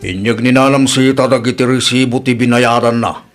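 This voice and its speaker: A man's voice: medium pitch, fairly even intonation, moderate pace, soft background music, lightly acted. A man playing an exasperated village father in an Ilocano comedy radio drama, plain-spoken and reproachful, with an Ilocano accent. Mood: resigned. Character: exasperated village father scolding his grown daughter.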